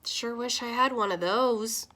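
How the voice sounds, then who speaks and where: A woman's voice: fairly high pitch, measured pace, pushed and bright. A woman voicing a curious young boy who keeps asking complaining questions. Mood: questioning. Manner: whiny questioning tone.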